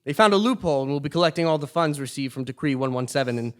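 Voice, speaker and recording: A man's voice: fairly high pitch, expressive, rapid-fire, fairly bright tone. An actor playing a well-meaning, exasperated new king in a comic stage play, earnest and theatrical. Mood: earnest, incredulous. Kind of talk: live absurdist comedy stage acting.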